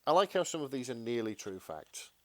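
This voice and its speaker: A man's voice: medium pitch, sing-song, brisk, a bit bright, no room sound. A British man chatting casually as a podcast co-host.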